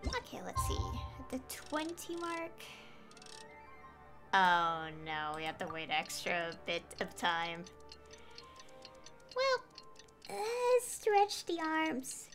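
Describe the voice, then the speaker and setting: A woman livestreaming a game, chatting casually and thinking aloud in a halting, rambling way. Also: high-pitched, huge pitch swings, music in the background. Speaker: game streamer.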